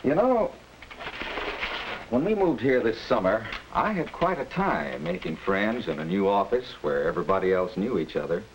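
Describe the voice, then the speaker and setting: Middle-aged American father, low register, reassuring adviser, 1940s educational film, dull noisy sound. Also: big pitch swings, normal speed. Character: calm, reasonable middle-aged father advising his son.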